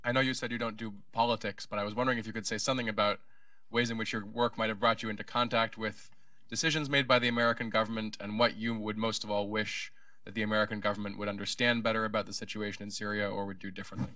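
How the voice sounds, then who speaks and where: A man's voice: on the low side, flat delivery, bright, forceful tone, no room sound, studio quality, distant mic. A man from a university asking a long, articulate question from the audience. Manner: brisk, articulate.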